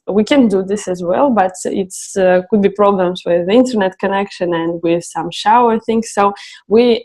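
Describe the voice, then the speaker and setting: Woman, halting interview English. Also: mid-range voice, a little mellow. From Russia. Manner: hesitant, many fillers and restarts. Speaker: guest, co-founder of a travel project.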